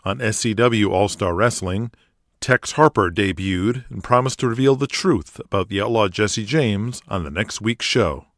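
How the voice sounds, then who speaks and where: A man's voice: lowish voice, moderate pace, no room sound, studio quality. A man hosting and narrating a scripted audio drama, reading announcements and story in a presenter's delivery. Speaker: podcast host and narrator.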